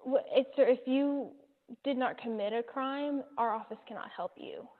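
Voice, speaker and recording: A woman's voice: high voice, moderate pace. A woman working as a law office receptionist, talking politely and procedurally on a phone call. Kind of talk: customer service phone talk. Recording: muffled narrow-band phone line.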